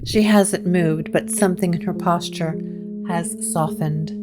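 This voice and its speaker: A woman narrating a scripted gothic audio drama in a measured, solemn, atmospheric manner. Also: on the low side, fairly even intonation, over music.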